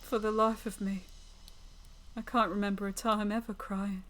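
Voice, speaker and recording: A woman's voice: medium pitch, level tone, moderate pace, fairly bright tone, faint music behind, close to an everyday voice. A woman voicing a centuries-old, sleepless female fiend from a visual novel, bitter and foul-mouthed, with theatrical repetitions. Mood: tearful despair. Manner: theatrical, repeating words, cursing. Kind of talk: dramatic visual novel reading.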